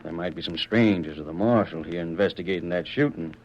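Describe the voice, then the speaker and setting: A man's voice: low voice, brisk. An actor playing a corrupt, bossy Border Patrol man in a Western radio drama, talking in curt, domineering frontier speech. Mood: curt, scheming. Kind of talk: 1930s-40s Western radio acting. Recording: muffled old radio recording.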